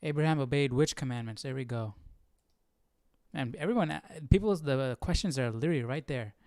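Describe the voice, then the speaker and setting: A man's voice: mid-range voice, brisk, a little mellow, no echo. A man hosting a live Bible study, informal and curious, thinking aloud as he chats and reads. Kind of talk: casual livestream chat and reading aloud.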